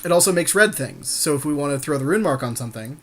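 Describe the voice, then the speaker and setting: A man's voice: a little high, animated, quick pace. A man chatting informally and agreeably as he co-hosts commentary on a game, using casual slang. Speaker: podcast co-host commentating a card game.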